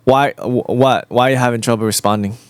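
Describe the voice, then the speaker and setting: A man's voice: medium pitch, steady tone, brisk, clean tone, no echo. A man chatting casually with an AI voice assistant, rambling and repeating himself as he rephrases his questions. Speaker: host.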